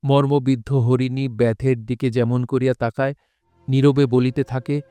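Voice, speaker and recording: A man narrating literary prose for an audio drama in a solemn, measured, dramatic way. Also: medium pitch, flat delivery, dark tone, clean tone.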